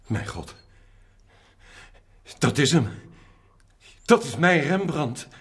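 An actor playing an elderly man in a radio drama, speaking haltingly and full of feeling, with a husky voice. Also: fairly high pitch, sing-song, full character voice. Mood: shocked, dismayed. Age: older. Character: elderly man seeking his father's stolen painting, emotional.

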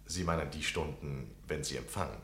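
An actor in a radio play playing a polite, eager, flattering gentleman journalist seeking to lodge. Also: rumbling low, brisk, reverberant. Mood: courteous. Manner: polite, smooth, deferential. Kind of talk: 1960 German radio play acting.

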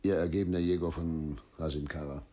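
An actor playing a principled, unbending governor in a radio drama, speaking formally and deliberately in measured phrases. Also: male voice, rumbling low, steady tone, warm tone. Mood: grave, formal. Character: dignified, principled, unbending male provincial governor. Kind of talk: German radio drama acting.

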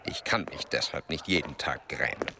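A man acting an ageing male explorer-scientist in a German radio play, grave and fatalistic, with a measured, formal delivery. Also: rumbling low, creaky, noisy background. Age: older. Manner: measured, formal, oratorical pauses. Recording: long hall reverberation.

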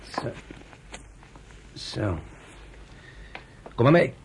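An actor playing a wary, brisk underground fighter in a radio drama, giving orders and questions in a curt, commanding way. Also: male voice. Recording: faint background noise.

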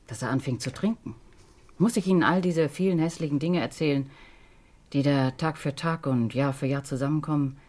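A woman's voice: deep voice, darkish tone, slightly rough. An actress playing a middle-aged divorced mother in a German radio crime drama, devoted to her children and bitter about her past.

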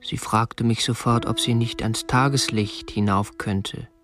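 A man's voice: mid-range voice, steady tone, measured pace, fairly bright tone, soft background music, close to an everyday voice. An actor playing a prim, unremarkable man in his twenties, a captor narrating matter-of-factly in a radio drama. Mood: stiff, matter-of-fact. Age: 20s. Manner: matter-of-fact, stiffly proper. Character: prim, unremarkable 27-year-old male captor, petty-bourgeois butterfly collector. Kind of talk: first-person narration and dialogue in a radio drama. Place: dry studio.

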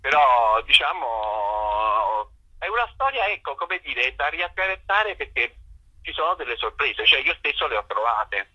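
A man's voice: mid-range voice, big pitch swings, a little slow, husky. A man talking casually as an interview guest on a lo-fi call, hesitant and repeating himself. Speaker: interview guest, theatre author-performer. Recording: dull lo-fi phone call.

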